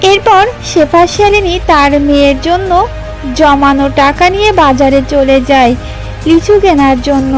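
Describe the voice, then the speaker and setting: A woman's voice: high voice, moderate pace, soft background music, poor audio. A woman narrating a children's cartoon story from a script.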